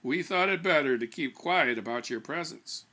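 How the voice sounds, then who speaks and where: Man playing an earnest, bright-voiced committee man. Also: fairly high pitch, animated, moderate pace. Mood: eager. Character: earnest, easily impressed male committee organizer.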